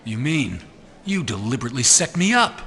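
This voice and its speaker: An actor playing a cool, confident, wisecracking secret agent hero in a video game. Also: male voice, medium pitch, very animated, brisk, sharp tone. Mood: skeptical.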